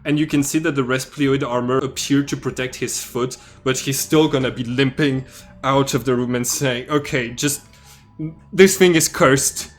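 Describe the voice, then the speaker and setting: A man narrating as game master of a tabletop game, loose and relaxed, with many fillers. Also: medium pitch, moderate pace, faint music behind.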